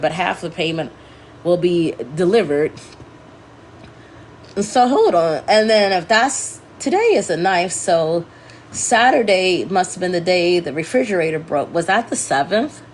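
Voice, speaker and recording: A congested, scratchy-throated woman hosting a casual livestream, rambling and correcting herself as she talks to viewers. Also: lowish voice. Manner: meandering, self-correcting, talking to viewers. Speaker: livestream host.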